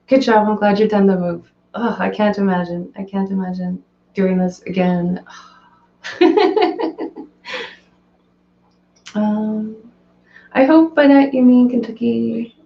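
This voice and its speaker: Woman, hoarse live stream host, chatty and off the cuff, echoey dull home recording. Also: mid-range voice, fairly even intonation, unhurried, pauses often, warm tone. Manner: chatty, rambling, reads and answers viewer comments. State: hoarse, voice cracking. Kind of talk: casual live stream chat.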